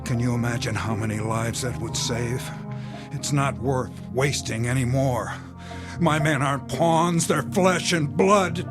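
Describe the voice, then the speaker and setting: Radio drama role: grim, commanding army captain, played by a man, mid-pitched voice, intense and weary, over music. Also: a little slow, close to an everyday voice. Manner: authoritative, intense. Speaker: actor.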